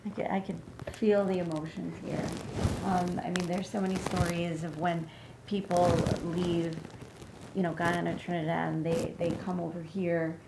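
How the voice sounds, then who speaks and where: A woman's voice: lowish voice, level tone, normal speed. A woman hosting an interview, asking probing questions with fillers like "you know" and "I mean". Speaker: interview host. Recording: echoey room with faint noise.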